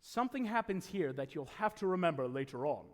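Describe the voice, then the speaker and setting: A man playing a calm, authoritative superintendent of angels in a radio play, speaking in a measured, patient way. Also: fairly high pitch. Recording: echoey live hall taping.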